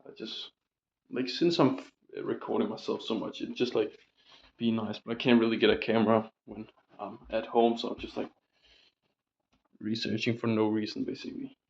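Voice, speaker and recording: Gravelly young man with a sore throat. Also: on the low side, level tone, moderate pace, a little mellow, highs cut off, a little hiss or hum. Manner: rambling, filler-heavy, swears casually. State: sore throat, voice still recovering. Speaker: vlogger. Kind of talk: casual diary vlog.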